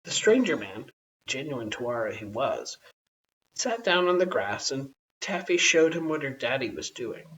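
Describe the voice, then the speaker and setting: A storyteller reading a children's bedtime story aloud in an expressive style. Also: medium pitch, moderate pace. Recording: dull, hissy, distant home recording.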